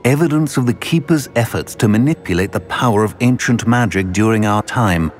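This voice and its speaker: An actor playing an older, self-assured wizard professor in a video game, speaking formally and with authority. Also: male voice, lowish voice, a little fast, soft background music, lightly acted. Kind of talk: video game cutscene acting.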